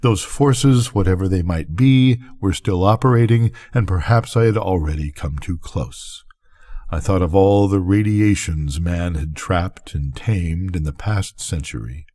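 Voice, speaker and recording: Older man, very low pitch, dark soft-edged tone, story narration, deliberate phrasing. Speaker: reader of bedtime stories. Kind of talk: scripted narration, audiobook-style story reading.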